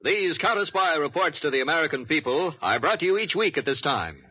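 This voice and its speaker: A man's voice: fairly high pitch, very animated, fairly quick, over loud music. A man announcing an old radio drama in an emphatic, formal 1950s style. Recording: muffled old radio broadcast.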